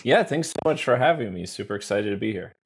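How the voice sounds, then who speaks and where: A man's voice: on the low side, lively intonation, fast, slightly rough. A man speaking as an executive guest on a podcast, explaining things in a conversational way.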